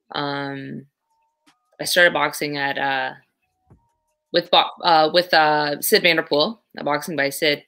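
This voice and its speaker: Low-voiced bright young woman on a call. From Canada. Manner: chatty, laughs between phrases. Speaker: guest, pro boxer. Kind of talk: interview answers. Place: small room indoors. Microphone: video call, laptop mic.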